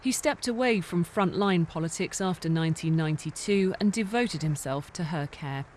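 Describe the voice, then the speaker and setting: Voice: mid-range voice, moderate pace, a little mellow, a little hiss or hum. A reporter reading a scripted obituary narration for a television news report.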